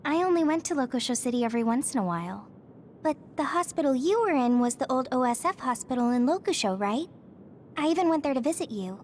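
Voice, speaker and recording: A woman's voice: high-pitched, animated, fast, slight background noise. A woman playing an earnest, helpful young female soldier in a video game cutscene.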